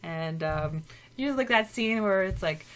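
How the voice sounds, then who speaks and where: A woman's voice: medium pitch, animated, unhurried. A woman co-hosting a podcast, casually recapping and discussing a story, often acting out bits of imagined dialogue. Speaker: podcast co-host.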